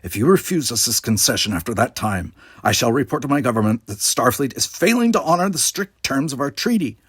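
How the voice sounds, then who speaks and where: A man's voice: mid-range voice, very animated, a little fast, fairly bright tone, husky, dry recording, studio quality. A man voicing a proud, hostile male alien military leader in an audiobook, speaking in a heated, formal, haughty way. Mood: threatening.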